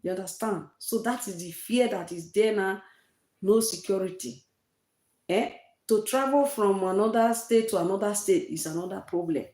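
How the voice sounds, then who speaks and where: A woman's voice: mid-range voice, normal speed, dark tone, echoey room. A woman from Nigeria with a Nigerian accent, hosting a casual livestream chat and repeating herself for emphasis. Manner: repetitive, emphatic, uses Nigerian fillers like eh and oh. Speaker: live-stream host.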